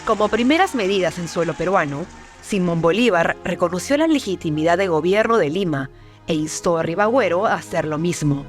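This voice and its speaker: A woman's voice: fairly low pitch, animated, brisk. Formal woman narrator over music. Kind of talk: scripted documentary narration.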